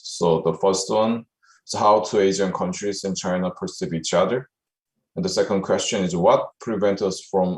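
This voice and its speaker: Very deep-voiced hesitant man chairing. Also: reverberant. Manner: hesitant, many uhs and restarts. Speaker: session chair. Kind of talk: conference summary.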